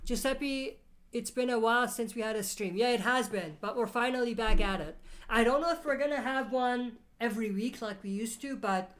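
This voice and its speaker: A woman's voice: a little high, normal speed. A woman hosting a casual live Q&A stream, reading out viewer questions and answering them, her voice a little off from a cold. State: has a cold. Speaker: livestream host.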